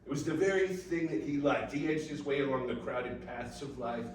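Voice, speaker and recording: A male narrator heard at a distance in a strongly reverberant room, with faint noise. His mid-pitched voice delivers a story in deliberate, theatrical phrases, leaning on each emphasis.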